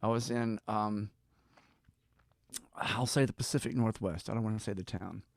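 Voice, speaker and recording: A man's voice: fairly low pitch, steady tone, moderate pace, creaky, dry recording, clean studio sound. An older man from Georgia with a Southern US accent, telling anecdotes casually as an interview guest, starting and stopping and acting out bits of dialogue. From Deep South, Georgia, United States. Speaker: professional storyteller, guest.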